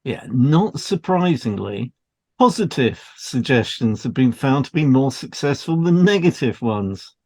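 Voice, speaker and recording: A man's voice: mid-range voice, big pitch swings, a little slow, darkish tone, husky. Man reading an educational podcast script. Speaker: podcast co-host, hypnotherapist.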